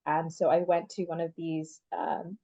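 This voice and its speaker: A woman's voice: low voice, level tone, unhurried, mellow, lo-fi sound. A young woman from Canada, the guest in a casual interview, talking in a chatty way with many "like" fillers. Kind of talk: casual conversation.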